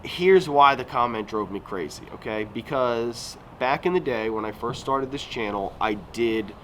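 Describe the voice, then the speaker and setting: A man's voice: medium pitch, a little slow, fairly bright tone. A man in his thirties talking casually in a rambling vlog, full of fillers and self-corrections, recorded outdoors at a resort recreation area. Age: 30s. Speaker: YouTuber. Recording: noisy outdoor recording with passing vehicles.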